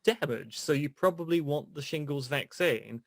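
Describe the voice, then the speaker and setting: A middle-aged man co-hosting a podcast in casual chat, wry and deadpan in his jokes. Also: on the high side, normal speed, gravelly, no echo. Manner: dry, wry joking. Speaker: podcast co-host.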